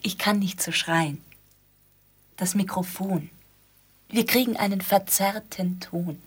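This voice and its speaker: Radio play: insistent, fussy interviewer, very measured pace, breathy husky voice, precise and halting. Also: female voice, mid-range voice. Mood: restrained, precise. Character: exacting, fussy female interviewer struggling with a deaf guest. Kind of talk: German radio play acting.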